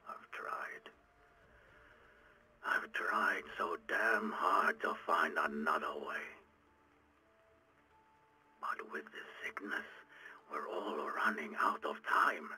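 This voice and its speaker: Low, brooding, weary revolutionary leader. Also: male voice, sharp tone, full character voice, rough recording. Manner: brooding, deliberate, halting monologue. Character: weary, driven revolutionary leader recording private confessions. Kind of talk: video game audio log acting.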